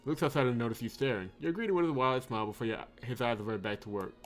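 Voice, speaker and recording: A man hosting a let's play, reading game narration aloud and breaking into excitable commentary. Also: mid-range voice, very fast. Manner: excitable, exclamatory reactions.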